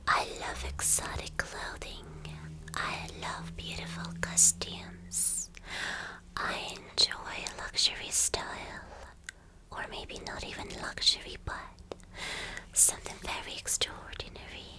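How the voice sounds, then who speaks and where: A woman voicing a vain, obsessive villain girlfriend in a breathy, lingering ASMR roleplay whisper. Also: a bass voice, exaggerated voice, rough recording. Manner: slow, breathy, lingering, repeating phrases.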